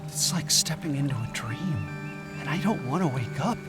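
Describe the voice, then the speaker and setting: A man's voice: mid-range voice, lively intonation, normal speed, natural voice. An actor playing an earnest, emotional male academic on an expedition in an audio drama. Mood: awestruck. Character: earnest, awestruck male academic anthropologist, anxious expedition member. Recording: loud music behind the voice.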